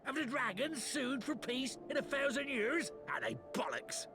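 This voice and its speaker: Angry high-pitched, gravelly British commoner. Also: male voice, sing-song, moderate pace, music in the background, exaggerated voice. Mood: indignant. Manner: scornful, coarse-spoken. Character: angry, blunt commoner man opposed to peace. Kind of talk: video game voice acting.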